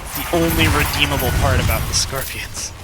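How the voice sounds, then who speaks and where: A man's voice: medium pitch, a little fast, over loud music. An American man giving casual, rambling, wry gameplay commentary. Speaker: gamer, let's play host. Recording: loud game gunfire behind voice. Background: video game gunfire and ambience.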